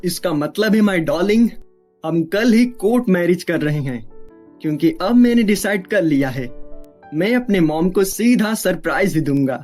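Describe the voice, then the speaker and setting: A woman playing an earnest, reassuring young Indian man in an animated family melodrama, with a placating way of talking. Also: on the low side, a little slow, soft background music. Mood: affectionate.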